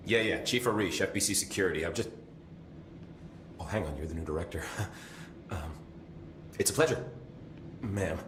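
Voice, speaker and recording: A man's voice: low voice, moderate pace, pauses often, background noise, natural voice. An actor playing a chatty, joking male security chief in a video game, talking casually and laughing between lines. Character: jokey harried male security chief, middle-aged. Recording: echoey room with loud ambience.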